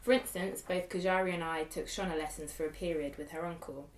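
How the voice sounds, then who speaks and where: A woman's voice: low-pitched, fairly even intonation, a little fast, slight background noise. A woman hosting a podcast chat, asking the others questions. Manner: inquisitive. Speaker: podcast host. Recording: distant voice in echoey room.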